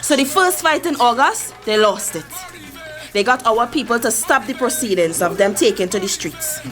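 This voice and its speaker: A proud older Trinidadian matriarch holds the floor, as an actress plays her, recounting history with fierce conviction. Her voice sits a little high. Music plays under the radio-drama scene. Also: lively intonation, normal speed, close to an everyday voice. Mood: impassioned. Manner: storytelling, impassioned, preaching history. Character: Mavis, older community matriarch, proud and forceful. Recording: music behind the voice.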